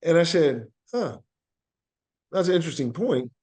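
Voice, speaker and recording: A man speaking as an interview guest, telling stories conversationally in deliberate, emphatic short phrases. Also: fairly high pitch, big pitch swings, darkish tone, husky. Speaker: podcast guest, actor and playwright.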